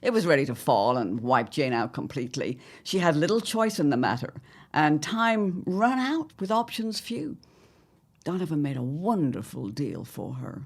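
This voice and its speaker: A gravelly, condescending mother-in-law played by a woman in an audio drama. She is smug and theatrical, stretching her words and swinging widely in pitch as she schemes. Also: very animated, moderate pace, full character voice. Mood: smugly sweet.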